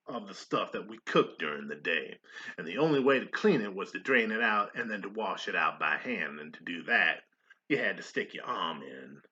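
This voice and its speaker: A man playing a folksy army staff sergeant and mess cook, telling his story in a theatrical monologue with pauses and emphatic swells. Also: lowish voice, sharp tone. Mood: wry, reminiscing. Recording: dull, lo-fi sound.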